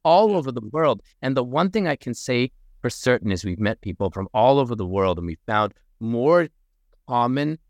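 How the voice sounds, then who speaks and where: A man's voice: medium pitch, big pitch swings, normal speed, dry recording. A man from Morocco speaking as a podcast guest, making an earnest, persuasive case. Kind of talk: podcast conversation.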